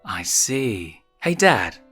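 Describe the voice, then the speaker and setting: A man voicing an earnest young lion cub prince with a casual, halting, boyish way of talking. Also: on the low side, very animated, sharp tone, slightly rough, full character voice. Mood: curious. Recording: music behind the voice.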